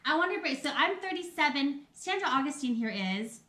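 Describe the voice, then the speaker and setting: A woman in her thirties with a somewhat high voice hosts a live stream. She chats openly and personally, often breaks into laughter, and keeps turning to address her viewers. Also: sing-song, brisk, noisy background. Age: 30s. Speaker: host of a live video. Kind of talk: casual live-stream chat.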